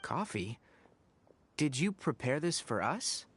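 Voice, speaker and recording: An actor playing a serious, principled young male forest ranger and former scholar in a video game, speaking in a measured, articulate, earnest way. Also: medium pitch, very animated, heavy background noise. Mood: calm, considerate. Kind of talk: scripted game dialogue.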